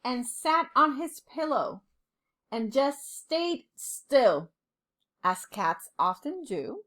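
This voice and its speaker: A woman reading a children's storybook aloud like a teacher, expressively and deliberately, with dramatic pauses. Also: sits high, sing-song, fairly bright tone.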